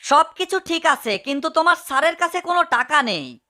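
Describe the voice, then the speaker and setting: Tiny cartoon mouse, high-pitched, piercingly bright, excitable, urging, delivery. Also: female voice, a little fast. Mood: scheming, eager. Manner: eager, urging, exclaiming. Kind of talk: cartoon fan dub.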